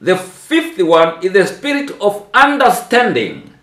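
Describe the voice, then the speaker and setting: An elderly Tanzanian churchman in a small, echoey tiled room, slowly and deliberately setting out his beliefs a phrase at a time for an interpreter. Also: sits high, huge pitch swings, a bit bright. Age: 70s. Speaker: archbishop. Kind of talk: religious address given phrase by phrase for an interpreter.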